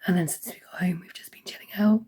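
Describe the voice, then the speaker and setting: A woman giving a casual crafting update, hoarse and half-whispering as her voice fails. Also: fairly low pitch, fairly even intonation, fast talker, warm tone. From United Kingdom. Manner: half-whispered, croaky delivery. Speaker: crochet vlogger.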